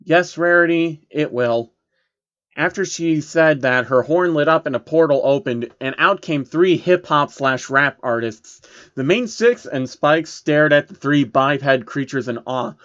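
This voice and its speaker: A man's voice: fairly high pitch, unhurried, a bit bright, highs cut off. A man hosting and narrating a comedic fan fiction reading, with sarcastic asides. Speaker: channel host and narrator.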